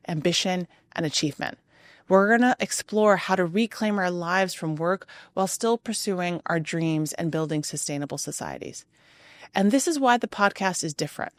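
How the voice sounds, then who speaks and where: A middle-aged woman whose first language is Arabic, hosting a podcast from a hotel room in an earnest scripted monologue of rhythmic lists and emphatic pauses. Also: on the low side, no echo. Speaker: podcast host.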